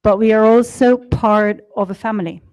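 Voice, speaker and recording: A woman's voice: mid-range voice, fairly even intonation, moderate pace, dull audio. A woman leading a storytelling workshop, coaching a participant with probing, encouraging questions.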